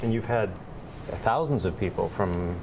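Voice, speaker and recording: A man's voice: on the low side, lively intonation, measured pace, vocal fry, background noise. A middle-aged man co-hosting a TV interview, asking guests questions. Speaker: TV co-host. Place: indoor TV studio.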